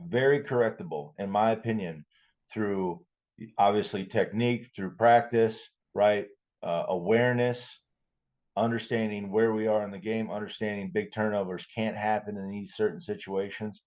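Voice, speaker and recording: A man's voice: fairly low pitch, steady tone, moderate pace, darkish tone. A man co-hosting a podcast, chatting casually about sports, on a dull, lo-fi recording. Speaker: podcast co-host.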